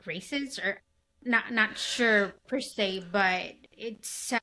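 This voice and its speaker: A woman's voice: mid-range voice, takes it slowly, sharp tone. A woman hosting a podcast, talking in a loose conversational way with frequent restarts. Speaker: podcast host. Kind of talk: casual interview chat.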